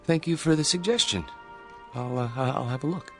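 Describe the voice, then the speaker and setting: A man's voice: on the high side, normal speed, a bit bright, faint music behind, close to an everyday voice. An actor playing a timid, earnest male loner in a radio drama, polite, hesitant and prone to stammering.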